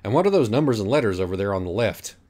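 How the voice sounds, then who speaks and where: A man's voice: fairly low pitch, big pitch swings, very fast, darkish tone. A man voicing a defiant, irritable, foul-mouthed pony in an audiobook, blunt and scornful. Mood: impatient. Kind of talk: audiobook character voice.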